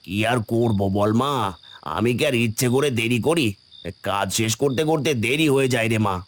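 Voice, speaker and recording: A man playing a gentle, weary poor village father, plain-spoken and affectionate, in a Bengali cartoon fairy tale. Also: mid-range voice, moderate pace, lightly acted. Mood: tender, tired. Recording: faint rain noise behind.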